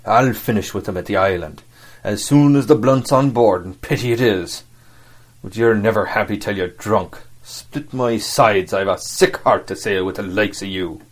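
A man's voice: lowish voice, lightly acted. A man voicing a sly, scornful, commanding sea cook for an audiobook, in speech with emphatic rustic phrasing. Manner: rustic sailor talk, emphatic, drawn-out delivery. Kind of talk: audiobook character acting.

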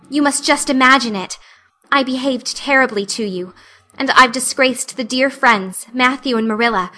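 A woman's voice: high-pitched, bright, forceful tone. A woman voicing a dramatic, eager young orphan girl who pleads in a breathless, imploring way.

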